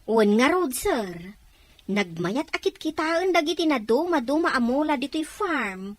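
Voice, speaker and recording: Brief: woman as respectful, eager farmhand, fairly high voice, audio drama. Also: big pitch swings, measured pace, no echo. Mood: respectful, pleading. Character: respectful, eager farm employee. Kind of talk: dramatized audio story acting.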